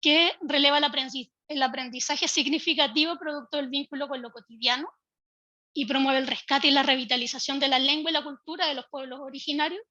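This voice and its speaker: A woman giving a measured, explanatory talk as a speaker from a public early-education institution. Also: high voice, fairly even intonation, pushed and bright, dry recording, lo-fi sound. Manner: measured, explanatory, occasional restarts. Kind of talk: online panel talk.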